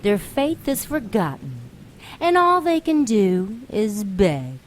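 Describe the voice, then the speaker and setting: An actress playing a grave, ominous narrator, speaking in a solemn and measured manner in an audio drama. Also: female voice, medium pitch, big pitch swings. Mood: grave, instructive. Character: grave, ominous female narrator teaching about guardians. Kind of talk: solemn scripted drama monologue.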